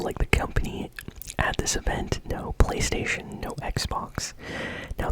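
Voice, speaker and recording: An ASMR host speaks entirely in a breathy whisper. The delivery is casual and chatty, like someone sharing gaming news with friends in a hushed, unvoiced voice. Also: extremely high pitch, rough recording.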